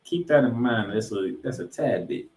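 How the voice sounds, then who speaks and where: A man co-hosting a sports podcast, recapping football and reading stats with emphatic, drawn-out key figures. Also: mid-range voice, darkish tone. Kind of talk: football recap commentary with stat read-outs. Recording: echoey, roomy sound.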